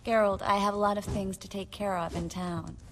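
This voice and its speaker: An actress playing a self-possessed young sorceress in dramatic dialogue from a fantasy video game. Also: female voice, medium pitch, little pitch movement, a little fast, slight background noise, lightly acted. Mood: composed, curious.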